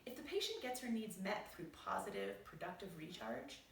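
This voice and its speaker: A woman physician reading aloud from her own book in a measured, explanatory way. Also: medium pitch, huge pitch swings, far from the mic, a little hiss or hum. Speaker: physician and author. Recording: roomy echo, voice set back from the mic.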